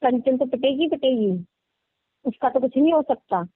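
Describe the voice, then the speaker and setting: A woman's voice: high-pitched, normal speed, husky, dry recording. A woman complaining in an agitated phone conversation, aggrieved and insistent, repeating herself over a narrow-band line. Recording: tinny, narrow-band phone call recording.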